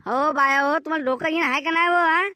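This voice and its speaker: A man voicing an exasperated village peacemaker in a cartoon comedy, admonishing in a rural Vidarbha Marathi accent with a pitched-up voice. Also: steady tone, fast, sharp tone, a little hiss or hum, exaggerated voice. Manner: admonishing, mediating.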